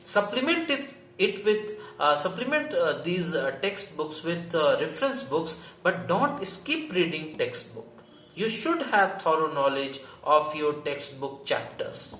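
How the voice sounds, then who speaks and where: A man's voice: fairly high pitch, big pitch swings, a little slow, fairly bright tone. A man with an Indian accent teaching an exam prep lesson, explaining emphatically and didactically, often repeating key phrases and asking rhetorical questions. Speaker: history teacher. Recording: echoey room, faint background noise.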